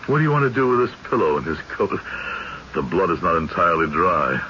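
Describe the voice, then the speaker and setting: An actor playing a skeptical judge in an old-time radio drama, with a measured, literary manner. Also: male voice, sits low, sing-song, bright, forceful tone, exaggerated voice. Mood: uneasy, puzzled. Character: uneasy, skeptical judge, also recounting the tale. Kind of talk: old-time radio drama acting with first-person narration. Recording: muffled, hissy radio recording.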